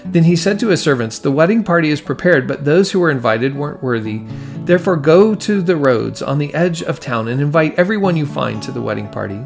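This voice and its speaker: A man's voice: on the high side, expressive, a little fast, gravelly, faint music behind. A man reading a gospel passage aloud from a script as a scripture reader.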